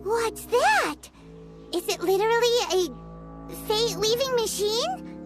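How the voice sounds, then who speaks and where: Tiny female game fairy, extremely high squeaky childlike voice, excitable, inquisitive, swooping intonation. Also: slow pace, full character voice. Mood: curious. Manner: exclamatory, inquisitive, refers to self in third person. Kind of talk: video game voice acting. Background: game music.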